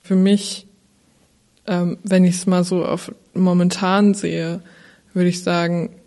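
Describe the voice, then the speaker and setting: An eighteen-year-old woman in a casual group chat, talking relaxed and slangy with hesitations. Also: lowish voice, takes it slowly. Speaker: podcast participant. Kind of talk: casual round-table chat.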